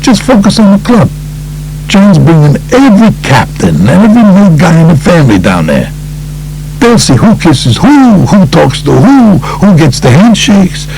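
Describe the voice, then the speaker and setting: A man's voice: high voice, wildly swooping pitch, normal speed, creaky, darkish tone, slight background noise, pitched above the performer's own voice, exaggerated voice, low-quality recording. A man voicing a blunt, streetwise New York mobster who tells his story in profane, emphatic, short phrases for an audiobook. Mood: exasperated.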